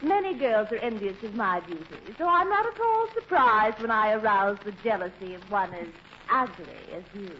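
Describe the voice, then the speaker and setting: A woman's voice: medium pitch, lively intonation, normal speed, close to an everyday voice. Woman as a swooping, vain glamour girl, old radio. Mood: condescending. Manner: affected, condescending, gushing. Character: vain, haughty young glamour model, female. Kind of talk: 1940s radio comedy acting. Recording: muffled, noisy old radio recording.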